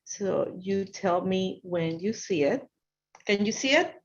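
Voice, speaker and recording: A woman's voice: medium pitch, animated, slow pace. A woman from Ecuador with an Ecuadorian Spanish accent, a native Spanish speaker, giving an encouraging motivational presentation to students as a guest speaker. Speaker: guest speaker, financial consultant. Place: online video presentation over Zoom. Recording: dull, lo-fi video call audio.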